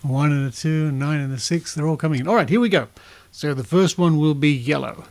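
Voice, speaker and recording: A man's voice: a little high, quick pace, a little mellow. A middle-aged man with an Australian accent, presenting a podcast casually with conversational asides. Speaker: podcast host.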